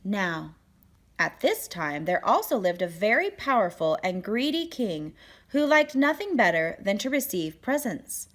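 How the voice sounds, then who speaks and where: A woman reading a children's picture book aloud as storyteller, with expressive, deliberate phrasing and dramatic emphasis. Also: mid-range voice.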